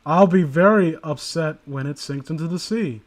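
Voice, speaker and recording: Male story-stream host, high voice, wry and crude, loose conversational banter. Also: moderate pace. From New York City. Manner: sarcastic, joking, foul-mouthed. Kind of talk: casual banter mixed with reading aloud.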